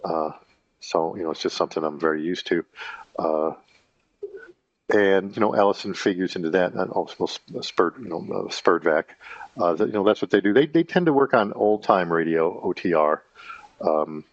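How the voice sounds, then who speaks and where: Deep-voiced man in his fifties rambling. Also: no echo, muffled recording. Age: 50s. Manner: halting, many fillers and self-corrections. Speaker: channel host. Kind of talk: casual rambling monologue.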